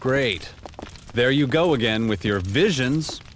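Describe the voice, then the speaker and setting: An actor playing a brash, sceptical young travelling companion in a video game, complaining in a casual, sarcastic way. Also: male voice, medium pitch, lively intonation, takes it slowly. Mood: sarcastic, whiny. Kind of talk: video game cutscene acting. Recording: noisy game audio with background ambience.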